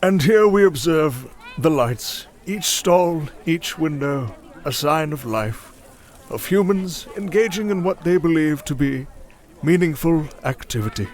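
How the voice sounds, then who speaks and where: Man putting on a high-pitched pompous nature documentary narrator. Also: animated, a little mellow. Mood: solemn, mock-awed. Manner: measured, solemn, mock-grand.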